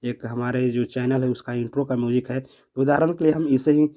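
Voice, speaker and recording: A man's voice: medium pitch, steady tone, a little fast, very noisy. A man presenting a step-by-step app tutorial, explaining and repeating each step.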